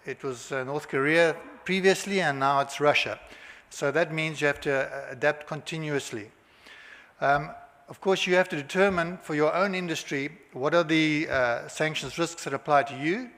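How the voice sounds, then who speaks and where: A South African man presents at a conference in a hotel hall, his fairly high voice trailing into long reverb. He talks haltingly, stopping often on filler sounds and restarting his phrases. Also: fairly bright tone. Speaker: conference speaker.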